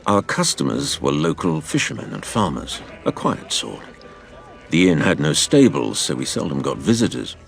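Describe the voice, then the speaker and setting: Audio drama: wry middle-aged father spinning a yarn, low creaky voice, animated intonation. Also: exaggerated voice. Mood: reflective storytelling. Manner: measured, expressive storyteller. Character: fond, wry father, storytelling former innkeeper's son.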